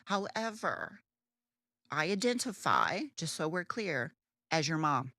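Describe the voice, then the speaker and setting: A woman with a deeper alto voice co-hosting a casual podcast, brash and joking, swearing freely. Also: slow, no echo. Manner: animated, lively pitch. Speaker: podcast co-host. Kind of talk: casual chat.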